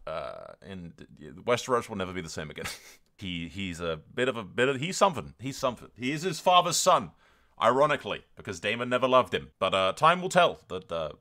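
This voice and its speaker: A man's voice: on the low side, sharp tone. A man hosting a gaming video, giving casual, informal commentary that retells a roleplay story in a sweary, tongue-in-cheek way. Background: background music.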